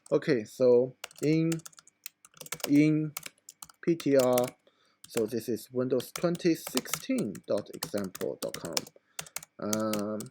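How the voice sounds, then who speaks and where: A hoarse man who is losing his voice, talking through a screen-recorded computer tutorial in a halting, informal way with many fillers. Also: medium pitch, expressive, dark tone, slight background noise.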